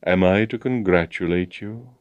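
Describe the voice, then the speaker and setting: A man's voice: low-pitched, normal speed, no room sound, lo-fi sound, close to an everyday voice. Guy doing a nervous young chap, hesitant n flustered, kinda eager to agree, storybook style. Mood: defiant. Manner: hesitant, faltering, overeager agreement. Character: timid, flustered young man cornered into courtship.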